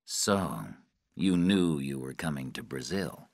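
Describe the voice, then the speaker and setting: A menacing male interrogator, performed by a male narrator, speaking very low and slowly with calm, measured threat. The audiobook dialogue is cold and dry. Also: dry recording, full character voice. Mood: calm probing.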